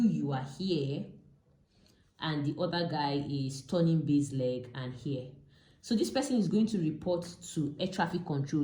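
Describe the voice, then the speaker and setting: A woman with a Nigerian accent speaks in a low, mellow voice. She teaches informally, like an instructor at a diagram, going step by step and repeating key terms. The room around her is noticeably echoey. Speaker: pilot and aviation instructor. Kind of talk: informal explanatory lesson with a drawn diagram.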